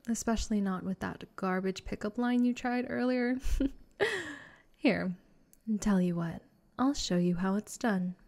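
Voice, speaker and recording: A woman's voice: mid-range voice, warm tone, natural voice. A woman playing a possessive, lovesick vampire in a comedic roleplay audio drama, sarcastic and theatrical. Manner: sarcastic and theatrical, exasperated sighs, breathy flirting.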